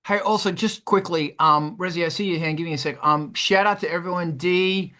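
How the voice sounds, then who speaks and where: A man with a fairly high voice and a bright, forceful tone. He hosts in a casual livestream style, chatty and effusive. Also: a little fast. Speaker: host.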